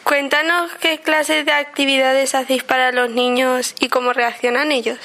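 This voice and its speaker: High-voiced woman radio interviewer. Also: level tone, normal speed, bright, forceful tone. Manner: friendly, asks short questions.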